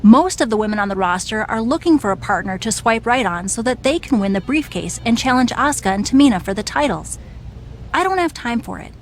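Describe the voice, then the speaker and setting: An actress playing a wry, no-nonsense female wrestling official in a video game cutscene, speaking briskly. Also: fairly high pitch, expressive, a little hiss or hum. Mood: brisk, firm.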